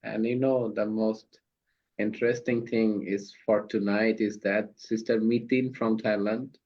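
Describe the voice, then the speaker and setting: Slow-talking Bangladeshi man hosting a call. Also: fairly low pitch, level tone. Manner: slow, halting non-native English with fillers. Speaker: host of online speaking practice meeting. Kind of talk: casual video call chat. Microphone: video call. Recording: dull lo-fi call audio.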